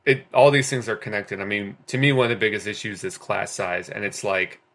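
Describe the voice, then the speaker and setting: A man's voice: fairly low pitch, fairly even intonation, fairly quick, fairly bright tone. A man talking earnestly about working as a teacher, with many fillers and restarts. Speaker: guest teacher. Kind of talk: conversational discussion.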